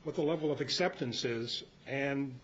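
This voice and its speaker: A man's voice: normal speed, fairly bright tone. An American man leading an informal club talk, rambling and anecdotal as he presents to the group. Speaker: meeting presenter. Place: club meeting room. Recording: dull lo-fi meeting recording with rumbly noise.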